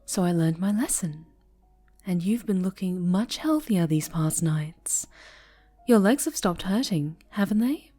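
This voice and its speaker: A woman performs a possessive dark superheroine for an ASMR roleplay. The voice is low-leaning and mellow, drawn out very slowly and often sinking into whispers. The manner is sweetly tender yet quietly menacing. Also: expressive, soft background music. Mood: controlling, tender. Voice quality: soft, breathy, often whispered. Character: possessive, sinister female superhero, a sweetly menacing obsessive captor.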